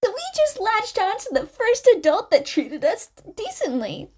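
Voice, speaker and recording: Woman acting a gentle schoolboy, high voice, earnest, reassuring, animated, audio story character. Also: moderate pace. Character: gentle, earnest teenage boy, soft-hearted student.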